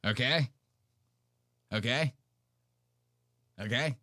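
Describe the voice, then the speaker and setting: Male livestream reactor, average pitch, dry sound. Casual, sweary, mocking commentary full of slang. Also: very slow, pushed and bright, no echo. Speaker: live stream host.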